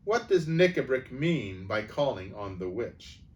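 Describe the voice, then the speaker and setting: A man's voice: mid-range voice, sing-song, a little slow, a little mellow, dull audio. A man voicing an anxious, learned old doctor who protests urgently in a storybook reading.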